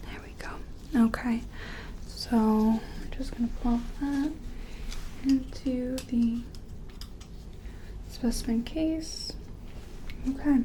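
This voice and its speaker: A woman playing a gentle, curious scientist in an ASMR roleplay, speaking softly and tentatively in a breathy, often whispery, soothing voice. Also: on the high side, slight background noise. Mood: gentle, focused. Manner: soothing, tentative, apologetic, hesitant pauses. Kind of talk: soft-spoken ASMR roleplay.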